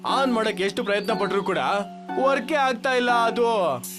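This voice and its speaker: A man voicing an earnest, aggrieved village husband in an animated moral story. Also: very high-pitched, moderate pace, over music. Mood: plaintive. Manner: plaintive, earnest.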